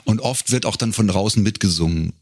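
A man speaking casually as an interview guest, a professional voice artist, who talks thoughtfully and haltingly as he searches for words. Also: lowish voice, darkish tone, no room sound, clean studio sound.

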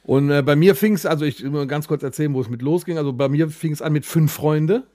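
A man's voice: on the high side, brisk, mellow, dry recording, clean studio sound. A man chatting casually and enthusiastically as a podcast host, in colloquial language. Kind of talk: casual chat.

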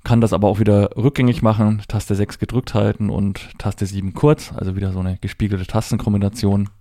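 A man's voice: on the low side, level tone, a little fast, dark tone, no room sound, clean studio sound. A man explaining a device step by step in a matter-of-fact, conversational tutorial style. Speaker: presenter demonstrating assistive technology. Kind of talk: hands-on spoken tutorial, unscripted step-by-step explanation.

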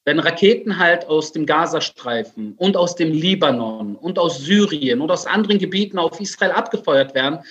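A man from Germany in his forties speaks as an interview guest, explaining in a conversational way. His voice is notably high for a man, and the remote connection makes it sound dull and lo-fi. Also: fairly bright tone. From Germany, Berlin. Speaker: interview guest, writer and military spokesman.

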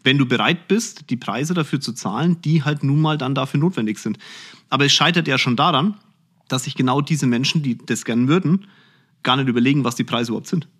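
A man's voice: medium pitch, a little fast, fairly bright tone. A man answering interview questions on a podcast in a blunt, direct and colloquial way. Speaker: podcast host being interviewed, finance executive.

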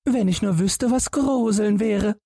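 A man's voice: sits high, unhurried, gravelly, warm tone, slightly rough, dry recording, clean studio sound, voice pushed up, full character voice. A man voicing a fearless, naive and cheerful young lad in a storybook tale, perky and cheeky. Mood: carefree. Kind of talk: storybook character voice.

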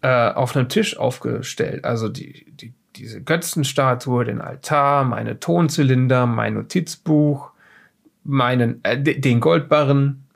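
A man playing a shifty former safecracker in an audio drama, self-justifying and rambling, with a gravelly voice and stammered restarts. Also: medium pitch, unhurried. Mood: agitated, insistent. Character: shifty former safecracker defensively recounting being attacked and kidnapped. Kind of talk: improvised audio drama dialogue.

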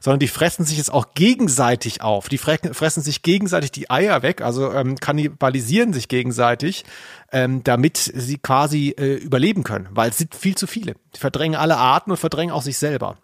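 Knarrige Männerstimme, trockener deutscher Podcaster. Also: male voice, medium pitch, expressive, fairly quick, no room sound, clean studio sound. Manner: dryly humorous, tongue-in-cheek. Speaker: podcast co-host. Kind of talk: casual podcast chat, telling anecdotes.

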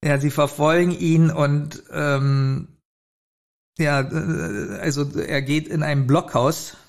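A man's voice: fairly high pitch, steady tone, slow. A man co-hosting a podcast, retelling and critiquing a story in an informal conversational way. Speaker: podcast co-host. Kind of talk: casual review chat, retelling a plot.

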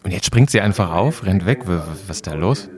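A man's voice: deep voice, animated, brisk, crowd noise. A man co-hosting a semi-scripted audio play in a casual, colloquial way. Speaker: podcast co-host and exhibition presenter.

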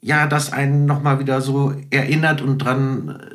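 A man's voice: mid-range voice, fairly even intonation, moderate pace, fairly bright tone. A middle-aged man as a podcast guest, telling anecdotes casually and acting out little quotes. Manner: informal, conversational, tells stories with acted-out quotes.

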